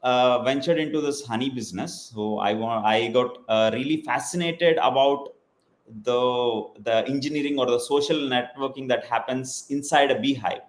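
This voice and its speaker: South Indian man, echoey room. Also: medium pitch. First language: Telugu. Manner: hesitant, many "uh" pauses. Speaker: podcast host. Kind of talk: casual video-call interview.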